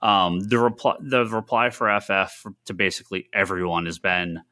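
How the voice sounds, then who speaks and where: Low, bright-voiced man, halting and rambling. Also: steady tone. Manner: halting, rambling, thinking aloud. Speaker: podcast co-host. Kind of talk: casual chat about comics and films.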